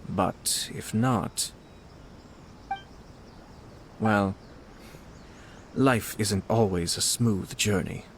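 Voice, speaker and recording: An actor playing a young, courteous and shrewd noble commissioner in a video game, speaking formally and with measured politeness. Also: male voice, on the low side, slight background noise. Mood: composed. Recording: music behind the voice.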